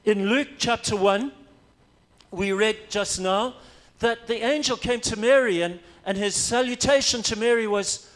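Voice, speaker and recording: A man's voice: sits high, a little slow, a bit bright. A man from Africa, a pastor preaching a sermon, emphatic and repeating his key phrases for weight.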